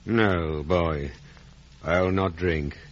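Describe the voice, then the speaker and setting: An actor playing a big, grey-haired ex-convict, rustic, halting and fervently sentimental, in a 1950s radio drama. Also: male voice, low-pitched, fairly even intonation. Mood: fond, humble. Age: older. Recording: dull vintage radio recording.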